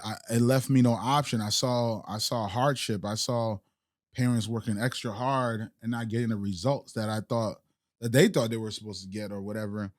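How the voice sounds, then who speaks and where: A man's voice: on the low side, steady tone, moderate pace, no room sound, studio quality. A man born in Haiti and raised in the United States, a podcast guest and entrepreneur, talking reflectively in street slang with many restarts. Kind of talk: casual interview chat.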